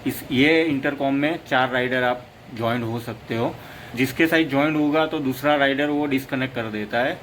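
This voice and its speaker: A man's voice: medium pitch, normal speed, fairly bright tone, a little hiss or hum. A man giving a casual, rambling product demo as a motorcycle vlogger, stringing his points together with "plus".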